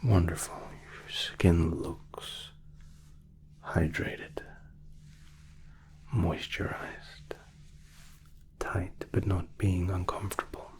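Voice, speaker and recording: A man performing a whispered ASMR spa roleplay as a therapist, gentle, soothing and softly reassuring. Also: low voice, level tone, drawn-out words, pauses often.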